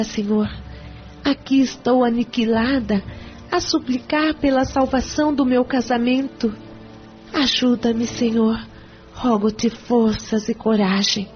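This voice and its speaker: A woman's voice: fairly high pitch, natural voice. A woman playing a devout, troubled wife in solemn, formal prayer in an audio novela. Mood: anguished pleading. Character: devout, desperate wife praying to save her marriage. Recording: dull sound with faint music. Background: faint music.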